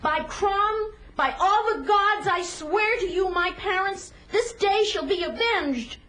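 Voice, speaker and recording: Very high-voiced solemn young boy. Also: slow, fairly bright tone, a little hiss or hum, full character voice, rough recording. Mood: vengeful, solemn. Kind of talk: 1980s audio drama acting.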